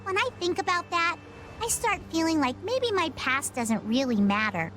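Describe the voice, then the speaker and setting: A quirky high-voiced pirate girl. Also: sing-song, normal speed, bright, forceful tone, a little hiss or hum, full character voice. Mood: wistful. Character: quirky, carefree young pirate girl with amnesia. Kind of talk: video game voice acting.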